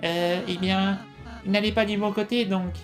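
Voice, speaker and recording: A woman playing a gentle, earnest young companion in an amateur fantasy comic dub, courteous and a little hesitant. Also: medium pitch, fairly even intonation, unhurried, soft background music, close to an everyday voice. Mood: puzzled. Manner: courteous, hesitant, careful phrasing.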